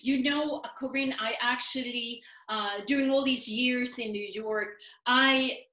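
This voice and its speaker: A woman's voice: on the high side, fairly even intonation, unhurried, pushed and bright, highs cut off. Upbeat woman in an echoey room. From Sweden. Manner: upbeat, earnest, spiritual phrasing. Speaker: guest, life coach. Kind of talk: casual interview conversation.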